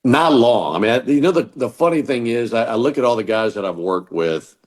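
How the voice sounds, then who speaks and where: A middle-aged man from Mississippi with a Southern American accent and a deep voice, a sports broadcaster giving earnest, reflective answers in an interview. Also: animated, brisk. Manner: earnest, reflective, some stammered restarts. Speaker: baseball broadcaster, guest.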